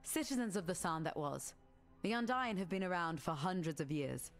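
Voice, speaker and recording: An actress playing a stern, wary woman in a dark fantasy video game, speaking in a matter-of-fact, curt way. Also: on the low side, expressive, normal speed. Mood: grave, impatient. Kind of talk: video game character dialogue. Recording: music behind the voice.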